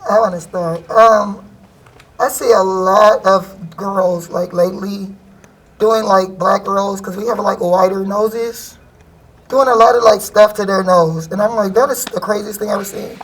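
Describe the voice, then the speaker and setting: A young woman speaks her mind on a panel in a casual, slangy way. Her voice sits at a middle pitch, and she talks frankly and directly. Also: fairly even intonation, measured pace, rough recording.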